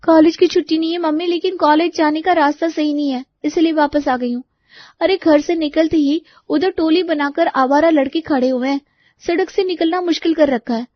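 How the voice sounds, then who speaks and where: A woman's voice: high voice, moderate pace, no echo, highs cut off, natural voice. A woman playing an aggrieved young college student, complaining plaintively in story dialogue.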